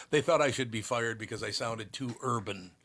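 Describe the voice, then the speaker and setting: A man in his sixties from Minneapolis, hosting a casual talk show. He has a gruff, slightly harsh voice and tells long, rambling stories full of restarts. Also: medium pitch, a little slow, a bit bright. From Minneapolis, USA. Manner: rambling, storytelling, frequent restarts. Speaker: show host. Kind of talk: casual chat.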